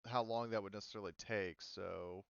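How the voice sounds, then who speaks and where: A man's voice: on the low side, steady tone, fairly quick, gravelly, clear, resonant tone, no room sound, dull audio. A man acting as game master, casually improvising narration in an informal, mildly profane way.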